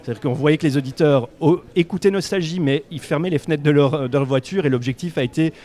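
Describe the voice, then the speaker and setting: A man's voice: fairly high pitch, fast talker, dark tone. A man who grew up speaking Dutch, a Belgian radio executive speaking on a conference panel in an assertive, explanatory way.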